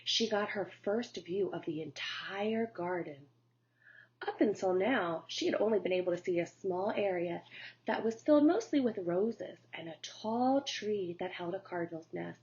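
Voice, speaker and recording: A woman teacher reading a children's picture book aloud in a slow, gentle storytelling manner. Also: fairly low pitch, expressive. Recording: dull lo-fi recording.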